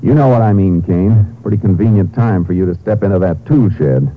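Texas Ranger, lowish voice, clipped and dry, firm, 1950s radio drama, narrow noisy recording. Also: male voice, fairly quick. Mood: stern. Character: tough, dry male Texas Ranger, unyielding lawman and narrator.

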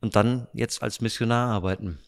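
A man's voice: on the low side, fairly even intonation, moderate pace, creaky. A man in his forties hosts a casual conversational interview. He sounds enthusiastic, reacting to his guest with lots of upbeat remarks. The talk was recorded in the dining hall of a youth camp. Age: 40s. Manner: enthusiastic, peppers talk with "cool" and "spannend". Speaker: podcast host.

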